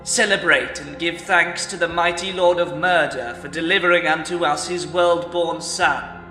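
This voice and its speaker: Man as a high, zealous dark elf herald. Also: steady tone, normal speed, faint music behind. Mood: exultant, fervent. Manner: exultant, ceremonial proclamation. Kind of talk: fantasy audiobook character acting. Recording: echoey hall reverb.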